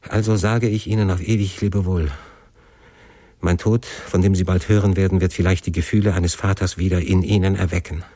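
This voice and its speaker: A man's voice: sits low, little pitch movement, brisk, dark tone, dry recording. Man acting impassioned young son, desperate, imploring, fervent, literary storybook drama, dry close sound. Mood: despairing. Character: passionate, desperate young son pleading with father.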